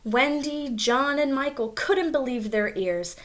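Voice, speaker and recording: A woman's voice: sits high, measured pace, fairly bright tone. A woman reading a storybook aloud to young children, expressively, with little asides about the pictures. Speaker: storybook reader.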